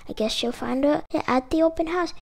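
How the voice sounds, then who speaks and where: High-voiced woman reading a children's story. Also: brisk, gravelly. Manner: expressive, emphatic delivery with dramatic exclamations. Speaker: storyteller reading a picture book aloud with puppets. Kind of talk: animated children's read-aloud.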